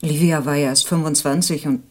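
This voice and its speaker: A woman's voice: low voice, fairly even intonation, brisk. An actress playing a gossipy, pitying female friend who confides her opinions in an audio drama. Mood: confiding, disapproving. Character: gossipy, pitying woman, loyal friend testifying.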